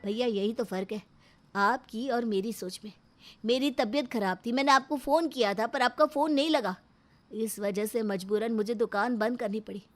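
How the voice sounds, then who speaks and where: A woman's voice: a little high, moderate pace, close to an everyday voice. A woman voicing an aggrieved, resentful younger brother in a storybook drama, complaining and defiant. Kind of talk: acted storybook dialogue.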